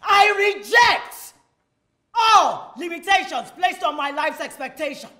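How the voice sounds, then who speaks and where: A woman's voice: very high-pitched, expressive, takes it slowly, soft background music, heavily acted. A woman acting a defiant figure in an emphatic theatrical stage monologue. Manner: emphatic, forceful. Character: defiant woman declaiming against limits on women.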